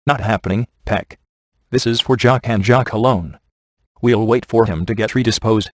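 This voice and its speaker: A man's voice: fairly low pitch, normal speed, slight background noise, lightly acted. A man voicing a cocky, wisecracking, flirtatious middle-aged ghost hunter in a video game, with dry, sarcastic delivery. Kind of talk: video game voice acting, one-liners.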